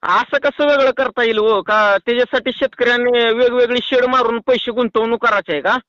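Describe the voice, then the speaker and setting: High, sharp-voiced rural Maharashtrian man on phone. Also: fairly even intonation, fairly quick, no echo. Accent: rural Maharashtrian Marathi. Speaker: farmer calling a doctor. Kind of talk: casual phone conversation. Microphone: phone call. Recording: muffled lo-fi phone call recording.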